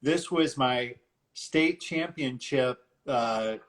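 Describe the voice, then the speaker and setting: A man's voice: medium pitch, very slow. A middle-aged man hosting a casual live interview over a video call, talking in an informal, chummy way. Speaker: interview show host.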